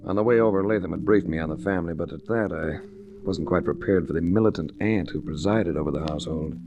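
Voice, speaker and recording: A man's voice: low-pitched, fast talker, gravelly, highs cut off, over music. An actor playing a tough investigator who narrates his own case with dry, hard-boiled wit in 1940s radio style. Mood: wry. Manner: hard-boiled, dryly witty first-person storytelling. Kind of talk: 1940s radio detective acting. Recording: restored old radio with hiss.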